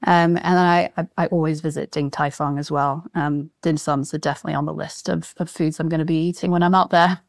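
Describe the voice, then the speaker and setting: Woman guest, managing director, cheerful and businesslike, clear smooth tone, dry close recording. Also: low voice, fairly even intonation, quick pace, few pauses, no echo. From Asia (born in Singapore, grew up in Hong Kong and Japan). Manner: upbeat, reels off long lists of names with light laughs. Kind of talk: casual podcast chat.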